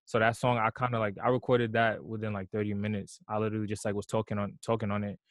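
A man's voice: fairly low pitch, level tone, quick pace, no echo, clean studio sound. A young man from New York, a musician being interviewed, talking in a laid-back way full of "like" and "you know". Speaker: musician guest. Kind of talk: casual interview conversation.

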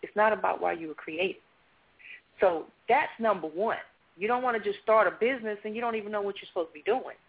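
Husky woman guest, preachy and motivational, sounds like she's on a tinny phone line. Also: mid-range voice, brisk. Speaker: guest, natural hair business owner. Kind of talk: motivational interview talk.

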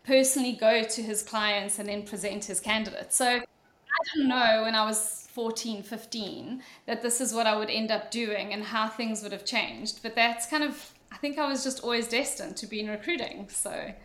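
A woman from Mauritius with a South African accent, telling her career story as a podcast guest in a relaxed, storytelling way. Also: a little high, normal speed. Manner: relaxed storytelling, frequent ums. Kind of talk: conversational interview answer. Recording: echoey room.

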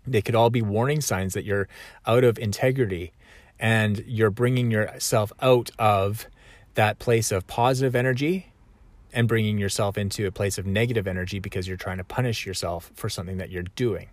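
A man's voice: on the low side, steady tone, moderate pace, no room sound. A man talking reflectively in a conversational, self-correcting way. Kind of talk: reflective solo talk.

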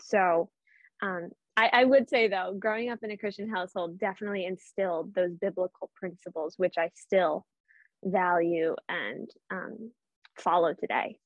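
A woman's voice: lowish voice, steady tone, normal speed, no room sound. A seventeen-year-old girl with a Boston accent, answering interview questions earnestly with frequent ums. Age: teens. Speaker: podcast guest. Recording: dull lo-fi sound.

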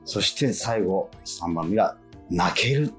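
A man's voice: deep voice, animated, slow. A man hosting a chatty radio interview, reacting often with short interjections and emphatic agreement. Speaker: radio host. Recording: faint music behind the voice. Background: faint music.

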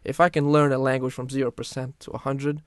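A man's voice: medium pitch, little pitch movement, fast talker, dry recording, studio quality. A young man telling his story in a casual podcast chat, earnest and full of restarts. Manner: earnest, many restarts and "literally". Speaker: guest.

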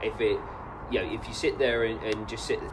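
A man's voice: lowish voice, flat delivery, fairly quick, background noise. A man, co-hosting a casual podcast chat. He often restarts his sentences and tells stories. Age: late 20s. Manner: stammering restarts, storytelling. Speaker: podcast co-host.

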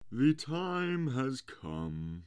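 A man voicing a pompous, coaxing walrus from a storybook, with a grand, drawn-out, theatrical delivery. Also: mid-range voice, sing-song, darkish tone, clear, resonant tone, voice pushed up. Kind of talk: storybook character reading.

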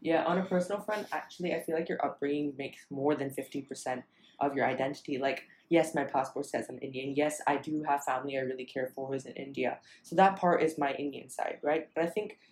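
Man co-host raised in Singapore, mid-range voice, quick earnest casual talk, recorded in an echoey room. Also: medium pitch. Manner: quick, earnest, often asks for agreement. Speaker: podcast co-host. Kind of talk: casual discussion.

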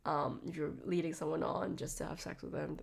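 A woman's voice: deep voice, fairly even intonation, fairly quick, gravelly, breathy edge. A young adult woman with a blocked nose and a slightly nasal voice, rambling casually on her podcast with lots of "like"s and restarts. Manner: chatty, rambling with frequent "like" and restarts. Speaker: podcast host. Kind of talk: casual solo podcast talk.

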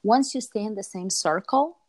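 A woman's voice: mid-range voice, moderate pace, darkish tone. A middle-aged woman from Lithuania with a Lithuanian accent, answering interview questions casually, repeating words for emphasis and checking in with short tags. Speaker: interview guest, entrepreneur.

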